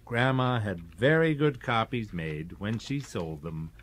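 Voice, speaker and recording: An actor playing a mild, sly elderly brother in a radio drama, husky and breathy, with a hesitant, wry, measured delivery. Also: male voice, lowish voice, lively intonation. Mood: calm, confessing. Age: older.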